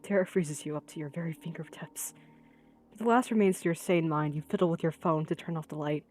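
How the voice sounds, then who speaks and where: A woman with a fairly low voice reads a visual novel aloud as narrator of her gaming playthrough. Light music plays behind her. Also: a little fast, a little mellow. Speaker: gaming video creator reading aloud.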